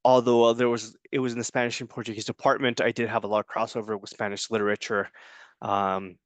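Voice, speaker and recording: A man recording an introduction to his online course. He talks informally, with frequent ums and restarts. His voice is smooth and clear, captured dry and close with no room sound. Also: lowish voice, level tone, fast talker, no room sound. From United States. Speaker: adjunct Spanish professor.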